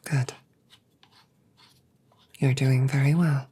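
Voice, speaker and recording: A woman voicing a gentle, protective damaged android soldier in a scripted ASMR roleplay, speaking breathily, often in a whisper, with slow, careful, formal phrasing. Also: very deep voice, fairly even intonation, husky, exaggerated voice. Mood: calm, reassuring.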